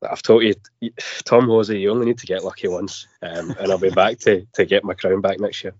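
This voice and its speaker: Croaky Scottish man with a cold. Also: low voice, fairly even intonation, a little fast, a little mellow, no room sound. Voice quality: a bit croaky. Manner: repeats words, fillers. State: has a cold. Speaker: podcast panelist. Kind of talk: casual football podcast chat. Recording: dry, dull lo-fi podcast audio.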